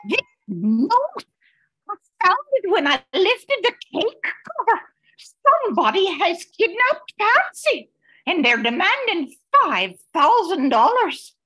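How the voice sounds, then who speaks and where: A woman's voice: extremely high pitch, sing-song, slow, pushed and bright, full character voice, rough recording. An older woman playing a loyal, wry housekeeper and cook in an amateur radio mystery. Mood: distraught. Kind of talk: amateur old-time radio mystery acting.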